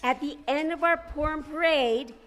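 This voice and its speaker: A woman giving a simple scripted announcement to families, deliberate and explanatory. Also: sits high, fairly bright tone. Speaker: announcer for a charity appeal. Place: social hall, live.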